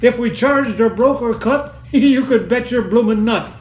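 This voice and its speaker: High-pitched man reciting verse, roomy echo. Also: unhurried, a little mellow. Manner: theatrical, colloquial soldier's delivery. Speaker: poetry reader. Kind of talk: dramatic poetry recitation. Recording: roomy echo, faint music behind.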